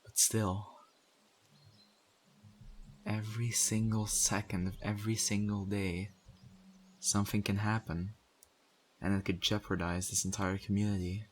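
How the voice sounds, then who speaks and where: A man acts out a weary male survivor who helps run a community after the zombie apocalypse. His voice sits very low and moves little in pitch. He speaks conversationally and directly to the listener, as in an audio roleplay, with a serious, put-upon manner. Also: little pitch movement, a little slow, long pauses, slight background noise, lightly acted. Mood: weary, uneasy. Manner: conversational, urging, mildly exasperated.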